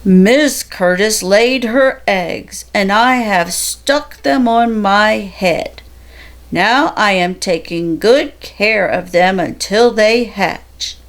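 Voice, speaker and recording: A woman voicing a contented, proud father fish in a children's storybook, pleased and unhurried. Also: medium pitch.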